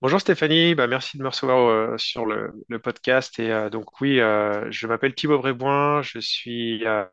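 A man's voice: mid-range voice, moderate pace, few pauses, fairly bright tone, clean tone, no room sound, lo-fi sound. A man in his thirties from France with a French accent, answering interview questions in a casual, hesitant way with many fillers and restarts. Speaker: guest entrepreneur.

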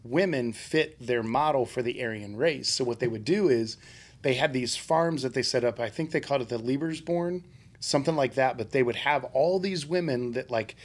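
A man's voice: mid-range voice, normal speed. A man co-hosting a casual podcast chat, laughing often as he tells stories. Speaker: podcast co-host.

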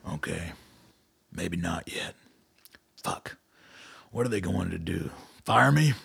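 An actor playing a short-tempered, shrewd army colonel in an audio drama, blunt and irritable, with a gravelly, husky voice. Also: male voice, low voice, animated, takes it slowly, fairly bright tone, no echo, heavily acted. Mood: weary, blunt.

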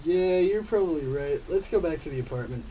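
Dim defensive male sloth played by a man, halting delivery, amateur radio comedy, far from mic, clear hiss. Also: a little high, expressive, close to an everyday voice. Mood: unsure, dim. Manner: halting, stumbling over lines. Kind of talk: amateur scripted audio comedy acting.

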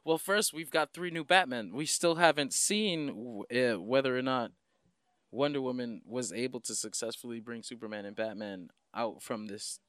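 A man's voice: mid-range voice, moderate pace, a bit bright, smooth, no echo, studio quality. A man co-hosting a podcast, talking casually and slangily like an enthusiastic fan recapping comics. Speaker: podcast co-host.

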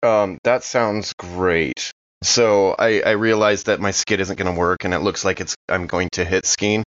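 A man's voice: deep voice, fairly even intonation, a little slow, fairly bright tone, dry recording. A man playing a tabletop game, casually describing the character's actions, recorded with a dry, slightly dull sound.

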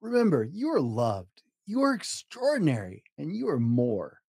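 A man's voice: on the high side, huge pitch swings, moderate pace, creaky, a little mellow, husky, no room sound, clean studio sound. A man hosting a children's story podcast, reading scripted introductions and narration for young listeners. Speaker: podcast host and story reader.

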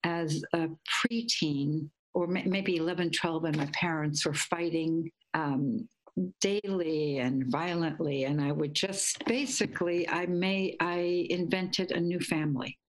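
A middle-aged woman from Guatemala telling her life story in a reflective, meandering way, correcting herself and laughing softly. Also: sits low, steady tone, a little slow, rough recording. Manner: dreamy, meandering, with soft laughs and self-corrections. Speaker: guest, imagery teacher.

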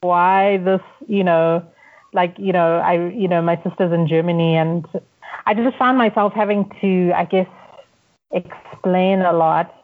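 A woman's voice: lowish voice, steady tone, unhurried. A woman with a Zimbabwean accent, a guest on a podcast, talking things through reflectively with many restarts and fillers. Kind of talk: casual interview conversation. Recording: tinny phone-like line.

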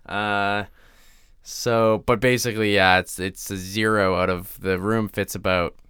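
A man co-hosting a podcast, chatting casually with a deadpan, slightly halting comedic delivery. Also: fairly low pitch, smooth, no room sound, studio quality. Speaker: podcast co-host.

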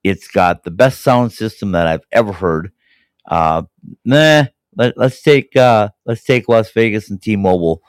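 Raspy man with a cold hosting a podcast. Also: fairly low pitch, measured pace, no echo, studio quality. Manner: enthusiastic, rambling with fillers and restarts. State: hoarse from a cold. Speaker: podcast host. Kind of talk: casual sports chat.

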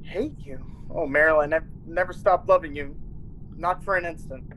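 A man's voice: fairly high pitch, normal speed, a bit bright, a little hiss or hum, close to an everyday voice, poor audio. Man acting an impassioned, lovesick space commander. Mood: anguished love. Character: lovesick male space commander, earnest and anguished. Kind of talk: classic radio drama acting.

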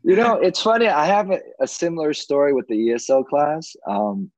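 A man from the United States chatting casually and telling personal stories in a husky, animated, joking way. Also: on the high side, brisk, dry recording, highs cut off. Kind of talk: casual chat, personal storytelling.

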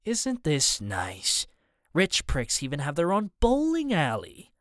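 A man's voice: on the high side, wildly swooping pitch, takes it slowly, fairly bright tone, dry recording, clean studio sound, heavily acted. A man voicing a cold male villain in an audiobook, commanding and mocking as he makes his threats. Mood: sneering, commanding. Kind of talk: audiobook character dialogue.

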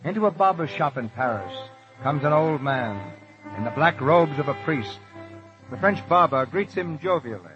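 A man with a slightly high voice narrates formally in 1930s radio style. His delivery is measured and declamatory. The broadcast is dull, with faint music behind him. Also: lively intonation.

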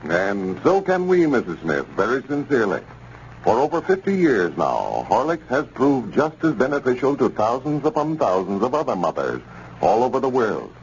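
A man announcing for a sponsor on 1930s radio, with a measured, formal delivery. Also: medium pitch, huge pitch swings. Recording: muffled 1930s radio transcription with hiss.

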